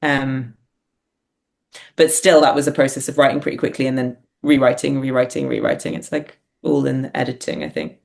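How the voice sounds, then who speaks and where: A woman's voice: rumbling low, brisk, creaky, a little mellow. A woman talking casually and reflectively as an interview guest, hesitant and self-deprecating as she thinks aloud. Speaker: podcast guest, writer and performer.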